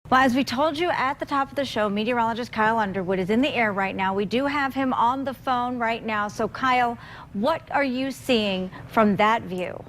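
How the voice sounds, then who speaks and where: A woman's voice: on the high side, moderate pace, a bit bright, slight background noise. A woman news anchor handing over to a reporter in a live broadcast.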